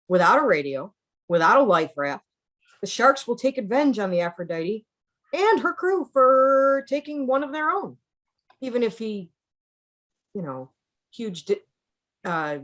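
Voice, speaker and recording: A woman playing a melodramatic, odd and lonely male scientist in a theatrical table read, with halting delivery. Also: a little high.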